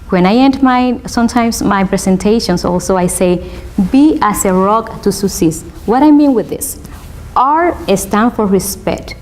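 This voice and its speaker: Woman, Spanish accent, law student in an interview; sincere, encouraging, halting second-language phrasing, mid pitch. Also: darkish tone. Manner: earnest and motivational, halting non-native phrasing. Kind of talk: interview, personal testimony.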